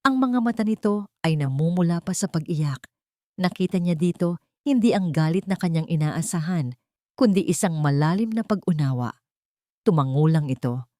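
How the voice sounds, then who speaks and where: Mababang boses ng babaeng tagapagsalaysay. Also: female voice, lowish voice, animated, normal speed, a little mellow, no room sound, clean studio sound. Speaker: audio drama narrator. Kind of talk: scripted dramatic story narration.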